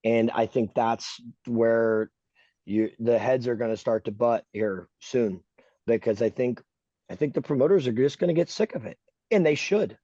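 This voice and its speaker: A man's voice: mid-range voice, moderate pace, warm tone, no echo, highs cut off. A man co-hosting a podcast, talking casually and bluntly, ranting with emphasis and frequent swearing. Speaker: podcast co-host.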